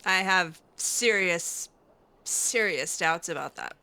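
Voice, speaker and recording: A woman's voice: on the low side, slow, sharp tone, clean tone. A woman playing through a video game in character, chatting casually in a hesitant, wry way. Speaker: gameplay roleplayer voicing her character.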